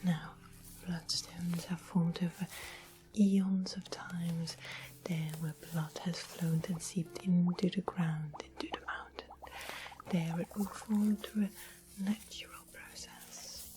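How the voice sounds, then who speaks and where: A woman playing a gentle medieval fantasy witch in an ASMR roleplay, explaining things in a breathy whisper. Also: lowish voice, steady tone, slow pace. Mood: hushed, explanatory. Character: soft-spoken medieval fantasy witch explaining potion ingredients.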